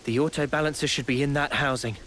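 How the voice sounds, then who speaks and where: A man's voice: medium pitch, fairly even intonation, fast talker, fairly bright tone, a little hiss or hum, lightly acted. Man acting an earnest young British squad leader. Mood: focused. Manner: composed, earnest. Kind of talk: video game cutscene acting.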